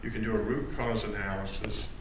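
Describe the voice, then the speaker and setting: A man presents informally at a conference, chatty and folksy with a joking touch. He is picked up from a distance in a heavily reverberant room, with clear noise around the voice. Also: lowish voice, flat delivery, brisk. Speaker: company presenter at a press briefing. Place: large echoey meeting room. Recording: echoey room from far off, noisy.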